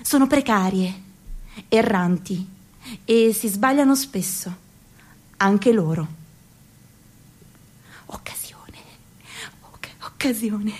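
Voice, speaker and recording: Slow dramatic woman narrator. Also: medium pitch. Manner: slow, dramatic, with whispered asides and sudden cries. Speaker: narrator and performer. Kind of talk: theatrical monologue in a radio documentary.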